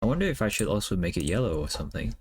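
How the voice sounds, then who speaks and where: A man whose first language is Cantonese, chatting casually on a livestream while he draws, musing aloud softly with many fillers. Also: lowish voice, sing-song, very fast, slightly rough. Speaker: streamer.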